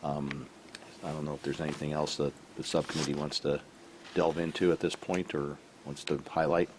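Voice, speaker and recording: A man giving a halting report at a council meeting, full of fillers and restarts, while losing his voice. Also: rumbling low, level tone. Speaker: city staff member. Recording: faint background noise from a live stream.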